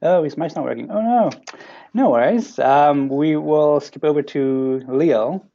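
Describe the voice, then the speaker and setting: A man, not a native English speaker, hosting a training webinar, talking haltingly with many fillers and restarts over lo-fi web audio. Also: on the high side, gravelly. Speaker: training program coordinator, webinar host. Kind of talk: hesitant webinar presenting.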